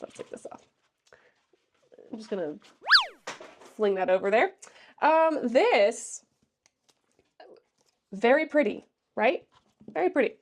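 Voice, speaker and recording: A woman's voice: fairly high pitch, background noise. Congested, sassy woman reviewing a book. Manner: sassy, chatty, trails off with fillers. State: congested from allergies. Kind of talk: casual book review vlog.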